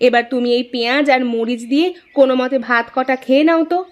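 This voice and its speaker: A woman's voice: sits high, quick pace, natural voice. A woman voicing a poor farmer's devoted, fussing wife in a moral-story cartoon, on a dull recording. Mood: caring, resigned.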